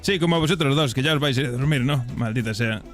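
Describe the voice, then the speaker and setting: Teasing Spaniard streamer, crude jokes. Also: male voice, mid-range voice, quick pace, faint music behind. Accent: Spain Spanish. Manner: crude, teasing, joking banter. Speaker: streamer, roleplay player. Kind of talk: roleplay game chat.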